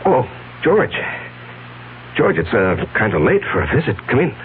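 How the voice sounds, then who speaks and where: An actor playing a scheming, nervous businessman with a halting, evasive manner in a 1970s radio drama. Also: medium pitch, wildly swooping pitch. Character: scheming, nervous businessman covering up his misdeeds. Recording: noisy, tinny old radio broadcast with hum.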